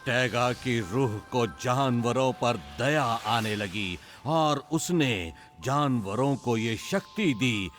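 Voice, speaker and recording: A man's voice: medium pitch, a bit bright, soft background music. A man voicing a wise, kindly uncle panda who tells tales to children in a dubbed cartoon. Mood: storytelling, grave. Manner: unhurried, storytelling to children.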